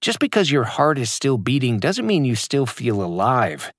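A man narrates his own motivational fitness audiobook, hitting key words hard with an emphatic, punchy delivery. His pitch sits mid-range but moves a lot. The recording is dry and close, with no room sound. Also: sing-song, normal speed, dry recording. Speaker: author reading his audiobook.

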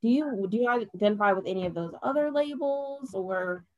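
A young adult woman speaks earnestly at a middle pitch, and her voice sits close and dry against the mic. She talks as a podcast guest in a casual chat. She lifts into animated bursts when she laughs. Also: moderate pace, no echo, lo-fi sound.

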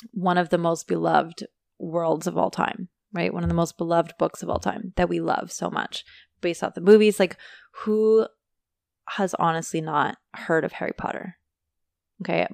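A woman hosting a casual motivational podcast, talking chattily to her listeners while her voice is going hoarse. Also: on the low side, dry recording, clean studio sound. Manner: chatty, motivational, addresses listeners as you guys. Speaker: podcast host. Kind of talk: casual solo podcast talk.

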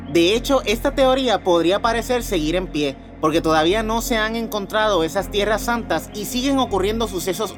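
A man hosting a podcast, reading scripted narration in a dramatic manner, putting questions straight to the listener. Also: high voice. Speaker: podcast host. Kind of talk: scripted narration about mysteries and conspiracies. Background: music bed.

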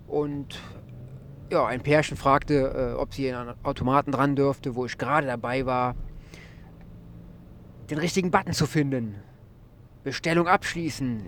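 A man's voice: medium pitch, a little hiss or hum. A man hosting his own podcast, telling a wordy, mildly ironic story in a casual monologue. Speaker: podcast host.

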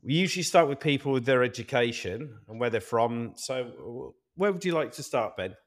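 A man who hosts the interview, playful and quick to cut in with questions. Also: medium pitch, expressive. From born in Zambia, raised as a Yorkshireman. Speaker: host. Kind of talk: casual interview chat.

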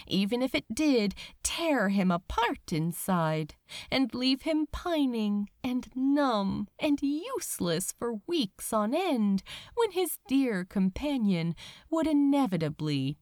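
A woman narrates fiction in a dry recording. Her delivery is slow and measured, with sorrowful drama and a very lively, moving pitch. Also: dry recording. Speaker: fan fiction reader. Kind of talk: expressive scripted narration of a story.

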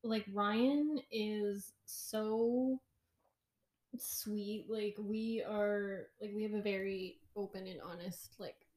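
A woman's voice: mid-range voice, fairly even intonation, slow, darkish tone. A woman co-hosting a podcast, rambling in casual chat with many fillers. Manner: rambling, lots of fillers, laughs along. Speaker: podcast co-host. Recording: echoey room.

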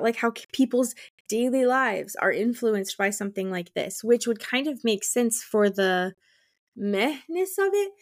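A woman in casual book discussion as a co-host, talking informally with exclamations and plenty of "like". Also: on the high side.

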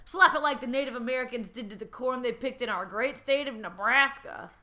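A woman's voice: a little high, a little fast, sharp tone. A woman voicing a smug, domineering old rich man, talking in a pompous, commanding way.

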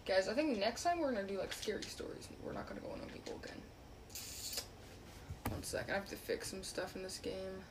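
A woman's voice: mid-range voice, lively intonation, a little fast, noisy background. A young woman hosting a casual online podcast, talking with strangers in a chatty, playful way full of fillers. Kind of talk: casual chat.

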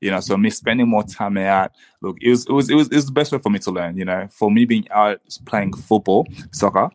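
Low-voiced man, native Swahili speaker. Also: a little mellow, no echo. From the Congo, raised in Zimbabwean refugee camps and Australia. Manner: halting, with frequent repeats and "you know". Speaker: interview guest. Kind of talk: reflective personal storytelling.

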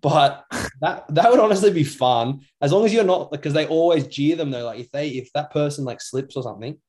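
A man's voice: on the high side, a little fast, a little mellow. A young Australian man co-hosting a podcast in casual chat, talking in a rambling, matey, slangy way. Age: young adult. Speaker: podcast co-host.

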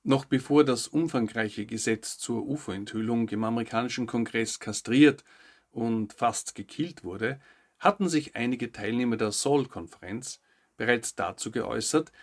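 A man's voice: medium pitch, normal speed. A man hosting a news update, reading scripted narration with short commentary. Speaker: host of a weekly news update show.

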